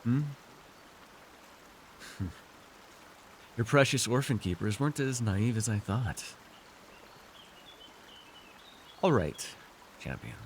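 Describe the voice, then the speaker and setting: A man's voice: on the low side, lively intonation, slow pace, pauses often, a little hiss or hum. A man playing an arrogant, seductive fantasy warlord in an intimate roleplay, teasing and theatrical as he speaks to one listener. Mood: wary, haughty. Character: arrogant, seductive male fantasy villain warlord, dream-wielding conqueror. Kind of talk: immersive ASMR fantasy roleplay acting.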